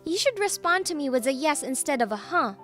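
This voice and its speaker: A woman's voice: high-pitched, sing-song, fairly quick, a bit bright, soft background music. A woman voicing a bossy, teasing young female boss and jilted ex in an anime-style manga dub, commanding and needling. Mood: stern, needling.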